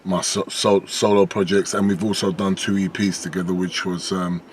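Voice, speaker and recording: A low-pitched British man with a slight lisp, talking about his music in a relaxed, rambling way as an interview guest. He circles back on his words and leans on fillers. A faint hiss of background noise runs under the voice. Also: moderate pace. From United Kingdom. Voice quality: deep voice with a lisp. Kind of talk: casual interview chat.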